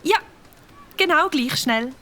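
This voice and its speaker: A woman voicing a motherly fox in a cartoon, with a Swiss German accent and a bright, coaxing, sing-song manner. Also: high voice, lively intonation, slow, full character voice. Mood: cheerful. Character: warm fox mother, motherly quiz host.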